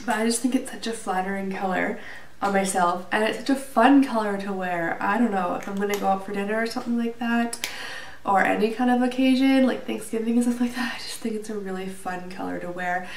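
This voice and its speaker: A woman's voice: mid-range voice, a little fast, gravelly. A woman with a heavy head cold talks to her camera in a casual, chatty way, with a stuffy, nasal tone and a slightly breathless delivery. The sound is roomy and a bit distant, as though the mic is across a small room. A faint hiss runs underneath her voice. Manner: chatty, with fillers and small laughs. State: a little out of breath. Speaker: beauty vlogger. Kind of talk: casual product review to camera. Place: small indoor room, plain wall.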